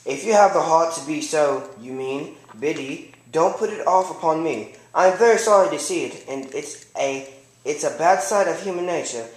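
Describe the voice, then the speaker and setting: A man's voice: fairly high pitch, lightly acted. A man playing a proud, condescending young man, reading first-person literary narration and dialogue formally in an amateur puppet show. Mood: snappish. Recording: echoey room.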